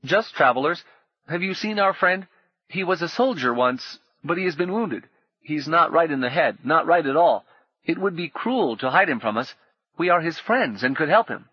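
A sinister stranger, voiced by a male narrator, smooth and almost childishly sweet. Every coaxing word carries menace under the false kindness. The sound is dull and muffled. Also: a little high, lively intonation, normal speed, dry recording, pitched above the performer's own voice.

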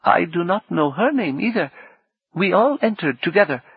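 Man voicing a shy, high-pitched woman. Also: expressive, moderate pace, gravelly, husky, no room sound, narrow, tinny audio, full character voice. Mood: earnest, unsure. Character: shy woman stranger, earnest and uncertain.

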